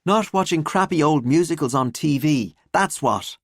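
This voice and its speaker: A woman's voice: low voice, animated, moderate pace, dry recording, clean studio sound, pitched above the performer's own voice. A woman voicing an earnest, easily embarrassed teenage schoolgirl in an audiobook, wry and defensive.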